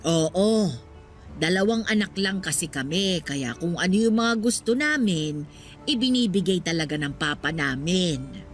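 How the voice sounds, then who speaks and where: A woman's voice: fairly low pitch, lively intonation, unhurried, soft background music. An actress playing an older motherly woman, plain-spoken and fond, in conversational Tagalog radio drama dialogue. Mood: fondly reminiscing.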